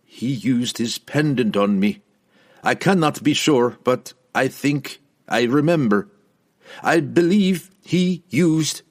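Male narrator as young barbarian, proud, hot-headed, accusing, formal phrasing, raised voice pitch, fantasy audiobook. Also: takes it slowly. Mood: troubled, insistent.

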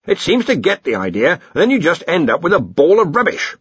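A male reader acts out a blustering elderly wizard in charge of a magical college. He is brusque and scornful, pitched above plain narration, with animated swings and a dull-edged recording. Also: brisk, slightly rough, no room sound, full character voice. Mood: brisk, scornful. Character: blustering, bossy older male wizard university head.

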